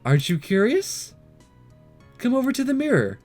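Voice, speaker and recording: Man putting on a high, bubbly teasing schoolgirl. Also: wildly swooping pitch, normal speed, a little mellow, no room sound, soft background music, heavily acted. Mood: bubbly, coaxing.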